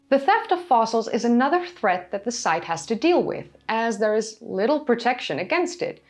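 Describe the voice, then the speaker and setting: A raspy-voiced woman narrates a history lesson, hoarse from a recent illness. Her pitch swings up and down with animated energy, and her register sits in the middle. Soft music plays faintly behind her. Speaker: history channel host. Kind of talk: scripted educational narration.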